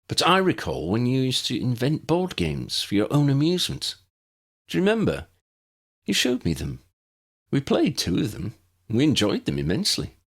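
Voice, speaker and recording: A man voicing a gentle, courteous older wizard in an audiobook, soft-spoken and old-fashioned in his manner. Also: medium pitch, creaky, breathy edge, pitched above the performer's own voice, exaggerated voice. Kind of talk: audiobook character voice.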